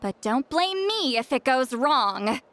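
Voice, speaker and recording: A woman's voice: sits high, big pitch swings, unhurried, a bit bright, exaggerated voice. A woman playing a proud, grumpy, easily flustered great shaman in an anime game, with a haughty, huffy manner. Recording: background music under the voice.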